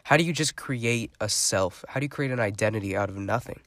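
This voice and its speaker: A man's voice: fairly low pitch, brisk, fairly bright tone, no echo, clean studio sound. A young man hosting his own podcast, talking in a rambling, chatty way with a stuffy, congested voice. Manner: rambling, chatty, lots of fillers. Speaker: podcast host. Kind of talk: casual solo podcast monologue.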